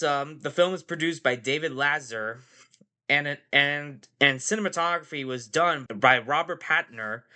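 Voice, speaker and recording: A man with a cold hosts a casual movie podcast, his voice nasal and stuffy. He talks in a slightly raised, bright and pushing tone. He rambles, circling back with repeated phrases and fillers as he goes. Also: fairly high pitch. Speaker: podcast host.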